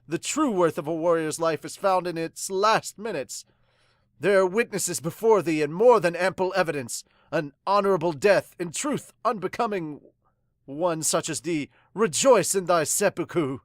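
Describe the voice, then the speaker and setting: A woman's voice: lowish voice, normal speed, no echo, studio quality, close to an everyday voice. A woman voicing a haughty male magistrate with stern, pompous, archaic speech in a manga dub. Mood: stern, scornful.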